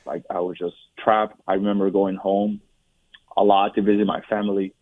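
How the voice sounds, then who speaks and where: A low, flat-voiced man from Puerto Rico in his forties tells his life story as an interview guest. He speaks haltingly, restarting sentences and leaning on fillers. The sound is dry and tinny, like a phone line. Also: dry recording. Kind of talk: personal testimony interview.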